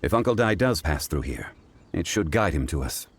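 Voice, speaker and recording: A composed scholarly gentleman from a video game, with a low, deep voice. He speaks formally and deliberately, calm and grave, with faint noise behind.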